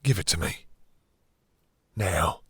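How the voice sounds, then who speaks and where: Slow, deep, husky vampire master, acted by a man. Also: animated, fairly bright tone, no echo, clean studio sound, heavily acted. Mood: stern, threatening. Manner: slow, theatrical, condescending, purring menace. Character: possessive, domineering male vampire master, seductive and menacing. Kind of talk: intimate scripted roleplay audio drama.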